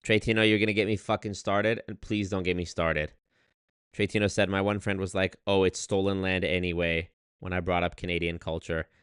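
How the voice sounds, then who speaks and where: A man telling a story on his livestream in an animated, exasperated way, swearing often and reading chat. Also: deep voice, brisk, clear, resonant tone, no echo, studio quality. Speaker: streamer. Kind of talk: casual livestream rant.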